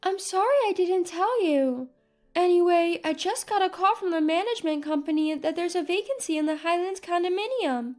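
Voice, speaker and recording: A woman's voice: extremely high pitch, lively intonation, moderate pace, darkish tone, soft background music, pitched above the performer's own voice. A woman voicing a modest, practical older mother in a narrated family drama. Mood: earnest, apologetic.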